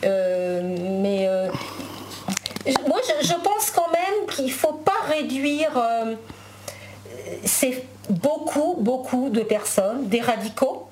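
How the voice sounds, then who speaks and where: A woman's voice: high voice, animated, slow, noisy background. A woman whose first language is Spanish, a guest in a casual interview chat, talking with many fillers and restarts.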